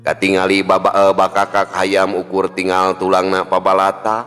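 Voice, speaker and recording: A man's voice: sits low, completely flat delivery, moderate pace, fairly bright tone. A man with a Sundanese accent narrating a folk tale in a storyteller's style. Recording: long hall reverb with music. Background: music.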